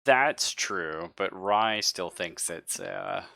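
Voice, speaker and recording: A man's voice: deep voice, slow, gravelly, bright, forceful tone, dry recording. A man acting as game master, narrating and chatting casually in an easygoing, accommodating way. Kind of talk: casual tabletop game narration and table chat.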